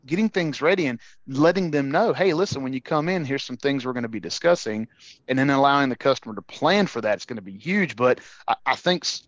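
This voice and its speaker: A man with a mid-pitched voice hosts a casual podcast interview. He is agreeable and tends to echo what he has heard before adding to it. The sound is dry and close but somewhat dull. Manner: agreeable, echoes the guest's words. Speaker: podcast host.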